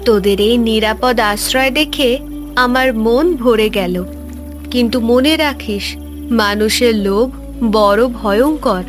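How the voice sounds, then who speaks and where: A woman playing a gentle magical maiden in a fairy-tale cartoon, speaking tenderly and solemnly. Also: on the high side, measured pace, natural voice. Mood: gently solemn. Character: gentle, magical glowing maiden risen from water. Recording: music behind the voice.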